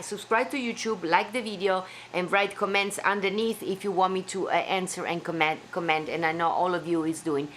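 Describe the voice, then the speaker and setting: A woman's voice: medium pitch, a bit bright, slight background noise. A woman from Italy with an Italian accent, hosting a live stream from home in an effusive, chatty way. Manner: effusive, chatty, with small slips in English. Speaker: live-stream show host. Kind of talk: casual live-stream sign-off.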